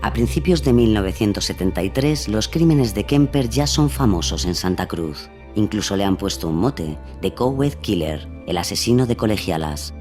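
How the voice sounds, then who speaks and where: A man's voice: fairly low pitch, lively intonation, normal speed, a little mellow, soft background music. A man reading scripted narration for a radio crime drama.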